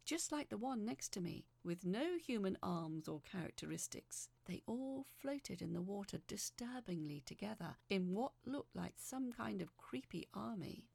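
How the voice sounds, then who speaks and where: Woman doing dramatic scary story narration, bit of fry in the voice, hushed suspenseful bits, super dry sound. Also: mid-range voice, dry recording. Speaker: narrator reading a first-person horror story. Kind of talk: dramatic scripted horror storytelling.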